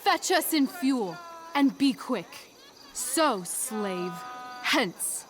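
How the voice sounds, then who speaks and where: Teenage girl playing a commanding stage sorceress, unhurried. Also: high voice, expressive, slight background noise, heavily acted, low-quality recording. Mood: commanding, scornful. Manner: imperious, deliberate archaic delivery. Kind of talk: theatrical Shakespearean stage recitation in a game cutscene.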